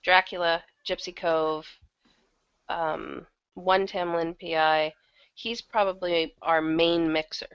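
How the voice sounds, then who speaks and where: Woman, interview guest, lower-pitched with strong vocal fry, conversational delivery, muffled online-call sound. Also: steady tone, slow pace, a bit bright. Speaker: interview guest, audio drama producer. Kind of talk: casual interview chat. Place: online video hangout.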